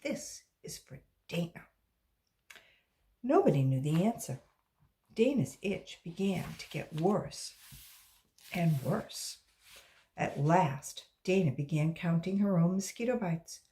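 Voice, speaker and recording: A woman narrates a children's storybook aloud. Her pitch moves in a very animated way, and she takes her time over each phrase. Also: slow, slight background noise. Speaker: volunteer storybook reader for children.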